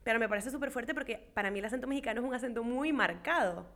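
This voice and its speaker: A woman's voice: a little high, very fast, a crowd in the background. A woman from Venezuela, talking emphatically and reflectively in a casual conversation.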